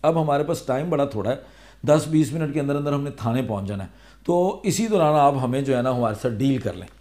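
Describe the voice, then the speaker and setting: A man with a Pakistani accent talks straight to his viewers in a vlog. His voice is mid-pitched with a soft, relaxed tone. He explains his advice in a conversational way, one step after another. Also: a little fast. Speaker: vlogger giving legal awareness advice.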